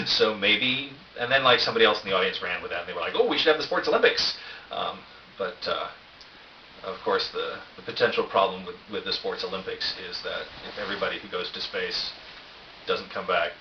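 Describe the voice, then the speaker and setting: A middle-aged man with a low voice rambles casually through a convention recap. He is hesitant, wry and self-deprecating. The sound is dull and roomy, with a faint hiss behind him. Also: a little fast, far from the mic. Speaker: vlogger. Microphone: cheap camera, some distance away. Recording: roomy echo, dull cheap camera mic, hiss.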